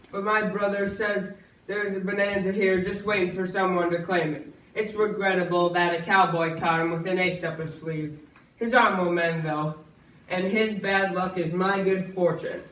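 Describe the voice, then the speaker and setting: Man acting a high-voiced scheming Western stranger. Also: fairly even intonation, unhurried, fairly bright tone. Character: scheming, smug, formal gold-seeking stranger man. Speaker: amateur stage actor in a school play. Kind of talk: projected stage monologue. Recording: echoey room from far off, faint noise.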